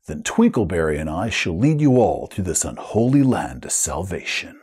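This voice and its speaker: Grandiose male sword-wielding hero acted by a man, slow solemn proclamations, slightly husky, wide swings in pitch, tabletop fantasy game. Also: very animated, darkish tone, full character voice. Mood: noble resolve. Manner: pompous, solemn, drawn-out proclaiming. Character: grandiose fantasy warrior roleplayer, a male cameraman brandishing a sword.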